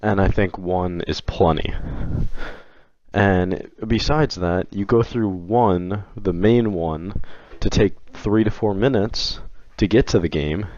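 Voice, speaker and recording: Young guy, deep voice, kinda hoarse from being sick, rambly game-rant vlog. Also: slow pace, a little mellow, dull audio. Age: young adult. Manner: rambling, hesitant, many restarts. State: hoarse from illness. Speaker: video game commentator. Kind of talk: casual opinion vlog.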